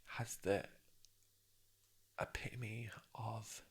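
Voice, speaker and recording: A man speaking softly and breathily in an ASMR job interview roleplay, gently encouraging and a little hesitant. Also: fairly low pitch, little pitch movement, very slow. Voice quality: soft, breathy voice with whispery moments. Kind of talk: soft-spoken ASMR roleplay.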